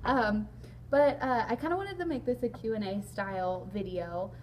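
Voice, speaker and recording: Hoarse raspy young woman hosting a vlog. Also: a little high, moderate pace, a little hiss or hum. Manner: chatty, frequent ums, laughs along. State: hoarse, lost voice. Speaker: vlog host. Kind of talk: casual sit-down interview. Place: large indoor hall.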